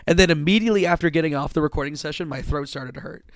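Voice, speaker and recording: Hoarse-voiced podcast host, dry sound. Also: male voice, a little high, racing, dry recording. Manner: rambling, swears casually, restarts sentences. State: sore, worn-out voice. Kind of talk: casual podcast chat.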